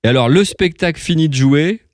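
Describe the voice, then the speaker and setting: A man's voice: a little high, a little fast, no echo, studio quality. A man hosting a casual radio interview, chatty and informal, feeling his way through his questions with some hesitation.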